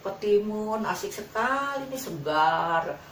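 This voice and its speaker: A woman's voice: mid-range voice, animated, slow pace, fairly bright tone, pitched above the performer's own voice. A woman voicing a cheeky, greedy little mouse deer in a children's fable, chatty and sing-song with exclamations. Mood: gleeful, greedy. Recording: roomy, distant mic, faint noise.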